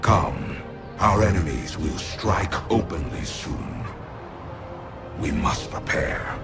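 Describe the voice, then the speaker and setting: A man's voice: low voice, lively intonation, slow, exaggerated voice. A man voicing a grave, resolute undead warlord in a fantasy game cinematic, weighty and commanding. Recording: loud music behind.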